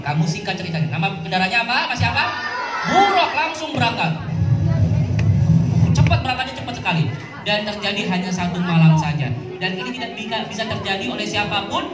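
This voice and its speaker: A woman telling religious stories to children, animated and teacherly, with questions and call-and-response. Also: mid-range voice. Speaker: children's storyteller. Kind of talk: interactive religious storytelling for children. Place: outdoor mosque yard event. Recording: echoey PA, distant mic, music and crowd.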